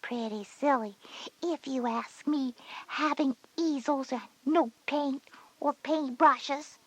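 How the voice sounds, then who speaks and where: A woman voicing a curious little kindergarten girl with a breathy, whispery voice in a children's educational audio drama. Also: sits high, slow pace, no room sound, poor audio. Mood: puzzled, opinionated. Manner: inquisitive, childlike.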